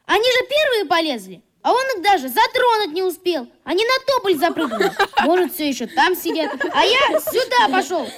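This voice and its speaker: An actress playing a scrappy, excitable young schoolboy in a radio play, blurting out colloquial speech defiantly. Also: female voice, very high voice, brisk. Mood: defiant, excited.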